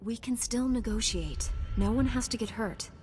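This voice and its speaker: An actress playing a confident, calm Jedi knight in a video game, with a dry, assured delivery. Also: female voice, a little high, moderate pace, big-room sound, faint music behind, close to an everyday voice. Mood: dry, diplomatic. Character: composed, confident heroic female Jedi knight.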